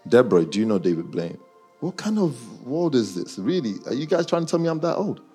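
A man's voice: mid-range voice, very animated, brisk, dark tone, soft background music. A man with a London accent chatting casually and slangily to a live audience, teasing his listeners as he goes. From Nigerian, childhood years in Guyana, schooled in the UK. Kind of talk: casual chat with a live audience and online viewers.

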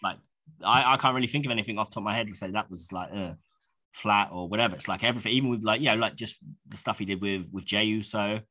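A man's voice: on the low side, fast, pushed and bright. A man co-hosting a casual podcast, stuffed up with a cold, rambling with many restarts. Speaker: podcast co-host.

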